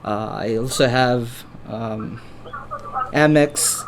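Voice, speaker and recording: A man's voice: mid-range voice, drawn-out words. Male Filipino vlogger, native Filipino speaker, Filipino accent, middle register, casual and hesitant delivery, slight background noise. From Philippines. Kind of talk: casual conversation and commentary.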